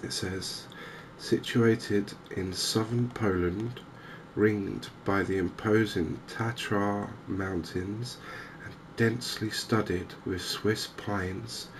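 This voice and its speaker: A man with an English accent giving soft, gentle, unhurried cozy ASMR commentary on a video game as the channel host. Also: sits low, background noise. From England.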